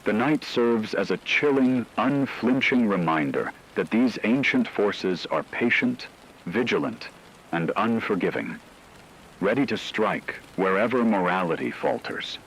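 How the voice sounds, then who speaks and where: A man's voice: fairly low pitch, animated, unhurried, fairly bright tone, a little hiss or hum, low-quality recording. A man playing a grave radio news reporter, narrating supernatural terror in a solemn, formal, ominous scripted style.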